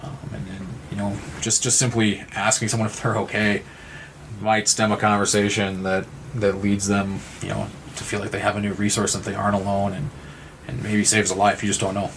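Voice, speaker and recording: Male podcast participant, low-pitched monotone, reflective, halting delivery with repeated words. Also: slight background noise, poor audio. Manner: halting, repeats words while thinking aloud. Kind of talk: reflective conversational discussion.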